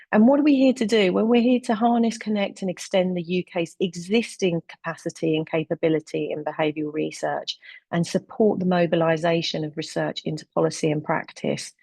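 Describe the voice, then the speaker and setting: A woman with a London accent walks through her slides in an online webinar, earnest and a little halting, with plenty of ums. Her voice sits on the low side. The recording is close and dry, with no room sound. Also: darkish tone, no echo. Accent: London English. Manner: earnest, with frequent ums and uhs and slide cues. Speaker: deputy director presenting a webinar. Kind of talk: webinar presentation.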